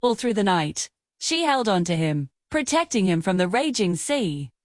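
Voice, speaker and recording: A woman storyteller narrating a scripted fairy tale in a measured, dramatic way. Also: medium pitch, big pitch swings, dry recording, studio quality.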